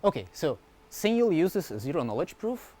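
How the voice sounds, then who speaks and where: A man's voice: fairly high pitch, very animated, normal speed, mellow. Young man explaining at a talk. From Belgium. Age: 20s. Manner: casual, informal explaining with frequent "yeah?" and "right?" check-ins; fillers and restarts, light humor. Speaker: university researcher giving a talk. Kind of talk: conference talk with slides.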